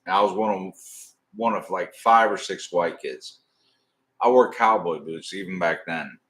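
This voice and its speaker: A middle-aged man with a deep voice, rambling and swearing casually as he hosts a live stream. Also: a bit bright. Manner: rambling, swearing, drawn-out storytelling. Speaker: livestream host.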